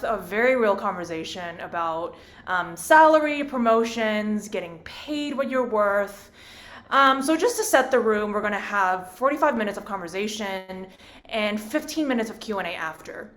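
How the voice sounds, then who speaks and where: A woman's voice: mid-range voice, a bit bright. A woman from Hong Kong moderating a virtual panel, upbeat and chatty.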